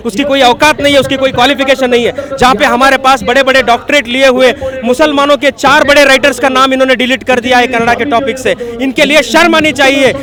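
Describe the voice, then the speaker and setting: High-voiced fervent man at a street protest. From Karnataka, India. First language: Kannada. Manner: fervent, accusatory, rally-style delivery. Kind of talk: impassioned protest statement to a reporter. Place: outdoor street protest in a city. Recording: street protest crowd behind.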